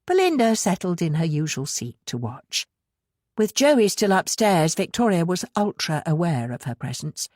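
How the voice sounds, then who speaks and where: A woman with a husky voice reading a novel aloud in a measured, expressive storytelling manner. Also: fairly low pitch, no room sound, studio quality. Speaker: audiobook narrator. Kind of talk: scripted fiction narration.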